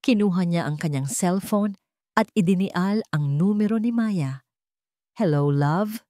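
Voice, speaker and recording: A woman's voice: mid-range voice, normal speed, mellow, no room sound, studio quality. A woman reading a scripted dramatic story as its narrator.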